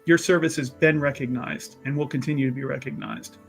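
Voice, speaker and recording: A man playing an ambitious, vindictive underground boss in a tabletop game, speaking emphatically and haltingly with many repeated phrases. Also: medium pitch, gravelly, soft background music, voice pushed down, natural voice. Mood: grandly approving. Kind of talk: improvised tabletop roleplay.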